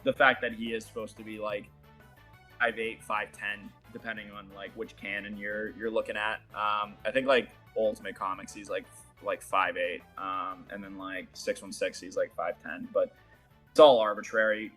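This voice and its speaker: A man's voice: fairly low pitch, flat delivery, moderate pace, a bit bright, soft background music. A man from the Boston area whose first language is Portuguese, chatting casually as an interview guest, rambling with lots of fillers and laughs. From Boston area, USA.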